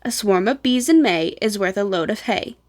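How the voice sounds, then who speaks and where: A woman voicing a kindly old grandfather in a storybook, gentle and knowledgeable, speaking to children in an old-fashioned explanatory way. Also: mid-range voice, expressive, normal speed, close to an everyday voice. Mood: explaining.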